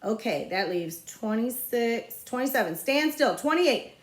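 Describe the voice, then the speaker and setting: A woman acts out a bossy schoolboy from a storybook, around fifth grade. He calls out orders in a loud, commanding way. The recording has a roomy echo. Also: fairly high pitch, lively intonation, unhurried, voice pushed up. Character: bossy fifth-grade boy organizing races.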